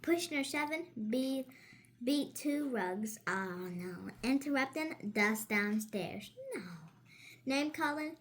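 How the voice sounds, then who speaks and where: High-voiced woman reading along haltingly. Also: lively intonation. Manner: halting word-by-word reading, playful reactions. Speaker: co-storyteller. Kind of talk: informal read-along with interjections.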